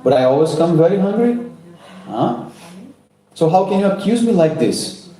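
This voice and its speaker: A man's voice: high-pitched, lively intonation, moderate pace, warm tone, heavy reverb, pitched above the performer's own voice, poor audio. A man doing a cheeky young boy. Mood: pleading.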